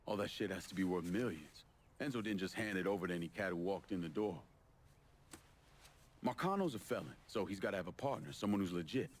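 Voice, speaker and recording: An actor playing a cool, blunt, threatening war veteran out for revenge in a video game, speaking with an American accent and tight control. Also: male voice, deep voice, a little fast, slight background noise, close to an everyday voice. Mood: calculating. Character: cool, menacing male Vietnam veteran turned avenger. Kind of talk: dramatic video game cutscene acting.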